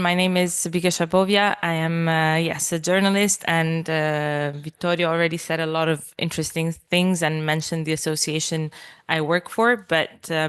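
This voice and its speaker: A woman journalist in her thirties from Italy, giving a lively, chatty conference talk full of asides. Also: sits low, clean tone.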